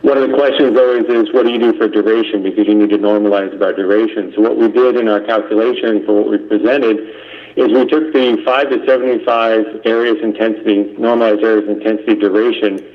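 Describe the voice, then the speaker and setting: A man's voice: on the low side, fairly even intonation, fairly quick. A man methodically explaining technical material on a conference call. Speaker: engineering presenter. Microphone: conference call line. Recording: dull lo-fi conference phone call.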